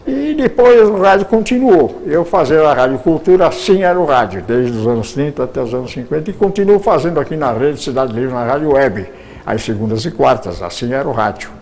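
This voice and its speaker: An older man, a retired radio producer, reminiscing in an interview, with halting speech full of repeated words and restarts. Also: mid-range voice, animated, a little mellow.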